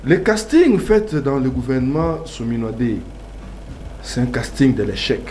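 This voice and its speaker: A man's voice: mid-range voice, big pitch swings, measured pace, darkish tone. A man with a Congolese accent arguing insistently in a political debate, using rhetorical questions. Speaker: debate guest. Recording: echoey, noisy room.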